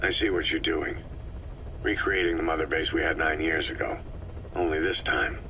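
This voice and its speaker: An actor playing a brooding veteran mercenary boss in a video game, speaking in terse, guarded, questioning phrases. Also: male voice, very deep voice, fairly even intonation, moderate pace, dull audio, slight background noise. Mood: wary. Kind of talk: video game voice acting, dramatic dialogue.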